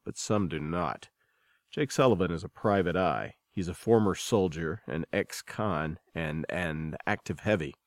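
A man's voice: low voice, no echo. A man hosting, reading a scripted introduction and recap in a narrator's manner. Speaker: podcast host.

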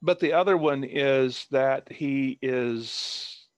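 A man's voice: medium pitch, takes it slowly, no echo. A man, an author, chatting casually in an interview over a video call, rambling with many fillers and restarts.

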